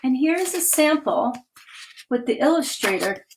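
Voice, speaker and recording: A woman, a children's author, reading her picture book aloud in a livestream with clear, expressive delivery and chatty asides. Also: sits high, unhurried, a little hiss or hum, low-quality recording. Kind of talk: children's storytime read-aloud. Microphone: webcam or phone for a livestream.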